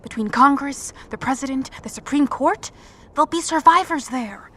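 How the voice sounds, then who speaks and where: Tough, higher-voiced woman survivor. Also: moderate pace, pushed and bright. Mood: firm, practical. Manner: terse, firm, practical. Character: tough, resolute combat-trained woman survivor leading companions. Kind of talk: audio drama dialogue. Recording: faint background ambience.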